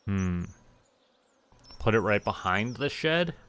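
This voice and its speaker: Low-voiced man muttering through gameplay. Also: takes it slowly, clean tone. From Canada. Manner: relaxed, muttering to himself while playing. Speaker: streamer. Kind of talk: casual gameplay commentary. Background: game music.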